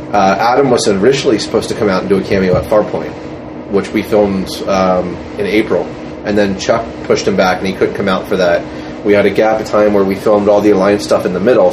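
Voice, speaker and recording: A man guest telling behind-the-scenes stories casually, in a detailed, explanatory way. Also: low voice. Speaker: podcast guest, fan film maker. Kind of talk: casual interview storytelling. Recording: distant mic in noisy room.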